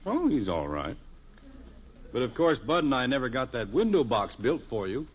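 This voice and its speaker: Hapless middle-aged American radio husband, hesitant, lively inflection, muffled hissy 1950s recording. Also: male voice, medium pitch, moderate pace, husky. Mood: weary, puzzled. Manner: hesitant, sheepish, stammering. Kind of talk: 1950s radio sitcom acting.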